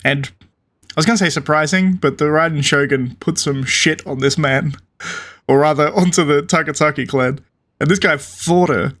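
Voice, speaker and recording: A man's voice: a little high, normal speed, fairly bright tone. A man casually commenting over a video game, offhand and wry, now and then crude. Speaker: gameplay commentator. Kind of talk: casual let's-play commentary.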